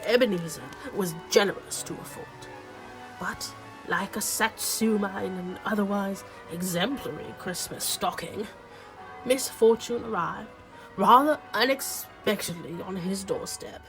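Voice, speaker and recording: A woman plays a grand, droll radio play narrator. The delivery is very slow and dramatic, over faint music. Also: medium pitch, natural voice, rough recording. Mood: droll storytelling. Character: storyteller narrator posing as Charles Dickens, droll and grand.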